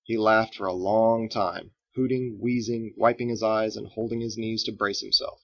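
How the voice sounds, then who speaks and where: Low, gravelly man narrating a story. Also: steady tone, moderate pace, muffled recording. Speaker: author reading his own book. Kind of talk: expressive scripted audiobook narration.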